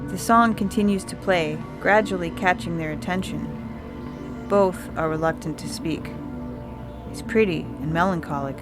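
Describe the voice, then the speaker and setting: A woman's voice: lowish voice, normal speed, music in the background. A woman reading scripted fiction as a story narrator. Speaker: story reader.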